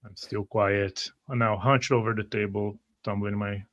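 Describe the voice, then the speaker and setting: A man's voice: on the low side, fairly even intonation, moderate pace, a bit bright. A man playing a tabletop roleplaying game, describing his character's actions on a lo-fi microphone. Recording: dull, lo-fi microphone.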